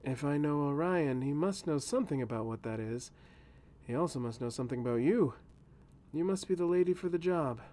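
Gentle patient castle servant read by a male narrator for an audiobook; coaxing, lively intonation. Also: on the high side, fairly quick, natural voice. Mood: reassuring. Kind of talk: audiobook character voice.

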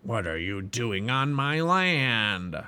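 A man voicing a huge, cruel male giant in a storybook reading, with a slow, rough voice. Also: mid-range voice, very animated, pushed and bright, exaggerated voice. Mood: demanding.

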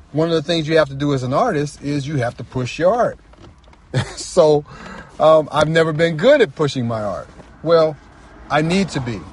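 A man's voice: fairly high pitch, a little hiss or hum. A man speaking as a writer and storyteller in earnest, reflective personal talk, repeating phrases for emphasis.

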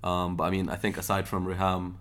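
Chill guy host, really deep voice, fires off questions quick and teases. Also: monotone, a bit bright. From Egypt. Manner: laid-back, teasing, quick questions. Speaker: podcast host. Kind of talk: casual interview chat.

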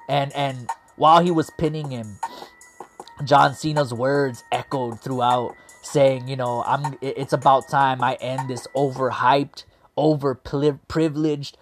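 An excited man, rambling through a wrestling recap and losing his voice as he goes. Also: medium pitch. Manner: hyped, rambling, heavy on "man" and "like". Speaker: wrestling show host. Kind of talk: excited casual commentary.

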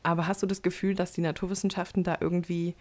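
Lowish-voiced young woman interviewer. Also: little pitch movement, fast talker, a little mellow. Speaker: interviewer, host. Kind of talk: casual conversational interview.

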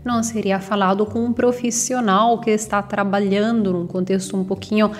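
A woman's voice: mid-range voice, flat delivery, normal speed, a little hiss or hum. A young adult Italian woman teaching phrases in a language lesson podcast, speaking with an Italian accent and explaining patiently, repeating words for practice. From Italy.

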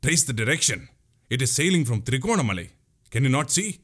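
A man's voice: mid-range voice, very animated, fairly quick, a bit bright. Man as a sardonic, formal commander. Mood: urgent.

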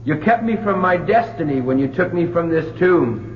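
A man's voice: on the high side, lively intonation, moderate pace, close to an everyday voice. An actor playing a defiant, brooding young man in a 1970s radio drama. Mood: stern, resigned. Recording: dull, lightly noisy old radio recording.

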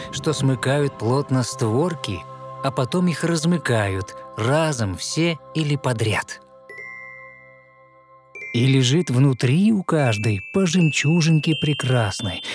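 A man with a mid-pitched voice narrates a fairy tale in verse. His recitation is measured and expressive, a scripted storytelling performance. Soft music plays behind him.

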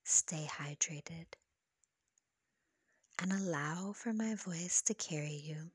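A woman with a slightly low, breathy voice leads a guided meditation. She speaks very slowly and soothingly, measuring out each phrase of her scripted affirmations. The sound is soft and close, with a very dry recording. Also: no room sound. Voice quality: soft, breathy, partly whispery. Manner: soothing, slow, measured delivery.

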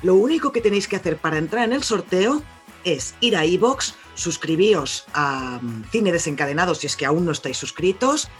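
A man's voice: high voice, expressive, brisk, faint music behind. A man with a Spain Spanish accent hosting a casual film podcast, chatty as he steers the talk and announces a giveaway. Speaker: podcast host.

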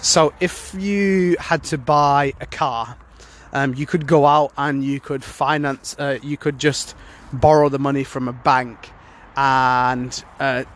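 A man's voice: mid-range voice, steady tone, slow pace. Bunged-up man with a cold. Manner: rambling, many fillers and restarts. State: congested from a cold. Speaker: podcast host. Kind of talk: casual solo podcast talk.

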